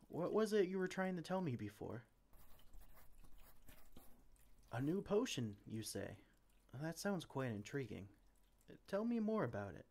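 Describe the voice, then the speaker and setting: Man acting a flustered, theatrical mage with swooping pitch. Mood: relieved, sheepish. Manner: theatrical, exclamatory, speaks to a silent assistant. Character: flustered, well-meaning male mage and potion brewer. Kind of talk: ASMR roleplay acting.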